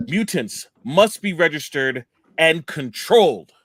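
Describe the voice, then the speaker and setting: A man's voice: fairly high pitch, animated, slow pace, a bit bright, husky, no room sound, heavily acted. A man playing an alarmist senator in a radio play, giving formal, emphatic political oratory. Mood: urgent, preaching. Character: alarmist male senator giving an urgent speech.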